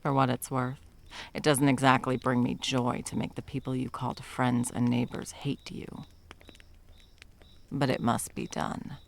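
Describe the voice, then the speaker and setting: A woman playing a stern, condescending yet tender monster hunter in an ASMR roleplay, commanding and dropping often into breathy whispers. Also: rumbling low, flat delivery, measured pace, exaggerated voice. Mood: regretful, firm. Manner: commanding, condescending, with pet names.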